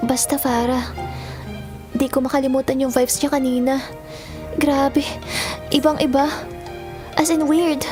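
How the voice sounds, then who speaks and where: A woman's voice: high voice, flat delivery, a little slow, long pauses, over music. A woman acting in a Filipino audio drama as a sweet, easily hurt young student, earnest and girlish, with a Filipino accent. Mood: shaken, baffled.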